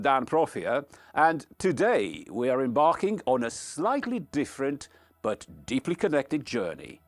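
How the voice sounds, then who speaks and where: A male podcast host speaks earnestly with a lively, swooping pitch and an unhurried pace. He stresses his words with care, and music plays faintly behind him. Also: dry recording. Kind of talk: scripted podcast introduction and interview.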